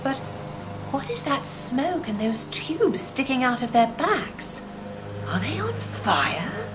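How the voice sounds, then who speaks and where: A woman's voice: high-pitched, very animated, takes it slowly, music in the background, full character voice. A woman playing a time traveller's dry-witted, cautious companion in an audio drama, speaking formally and precisely. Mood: alarmed, puzzled.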